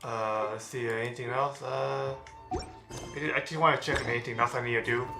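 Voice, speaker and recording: A man's voice: medium pitch, steady tone, fairly quick. A man streaming a video game, commenting casually in a rambling way with lots of fillers. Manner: rambling, with many fillers and restarts. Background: game music.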